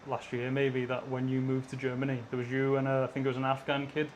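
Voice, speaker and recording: A man's voice: mid-range voice, completely flat delivery, quick pace, a little hiss or hum, rough recording. A man interviewing a guest, steadily posing and framing questions.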